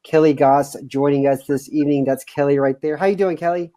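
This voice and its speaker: Man in his 30s, webinar host on a home video call, mellow tone, upbeat and chatty. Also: fairly high pitch, fairly even intonation, a little fast. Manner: upbeat, loose, many "uh" fillers. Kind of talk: casual webinar hosting. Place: home room, indoors.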